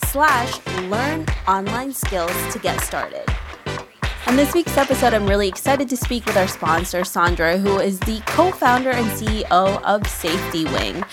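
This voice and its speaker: A woman's voice: medium pitch, animated, moderate pace, over loud music. A woman hosting a podcast, moving between scripted introductions and upbeat interview chat. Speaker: podcast host.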